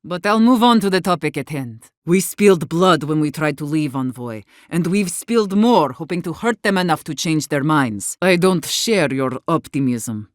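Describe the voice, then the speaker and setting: A woman's voice: sits low, expressive, moderate pace, hardly any pauses, no room sound, clean studio sound. An actor, a woman, playing a blunt, guarded and embittered leader of besieged wardens in a video game. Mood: resigned, somber. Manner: blunt, guarded, sharp-tongued, swearing. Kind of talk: video game character dialogue.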